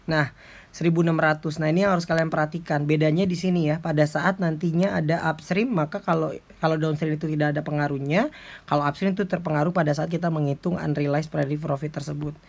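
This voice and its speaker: A man explains like an accounting lecturer in an informal tutorial, going step by step in a halting way. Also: on the high side, fairly even intonation. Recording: clearly noisy background with clicks.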